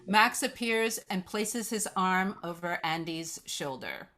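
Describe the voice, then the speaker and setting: A woman's voice: on the low side, slow, pushed and bright, slight background noise. A woman reading stage directions aloud as scripted narration at a screenplay table read.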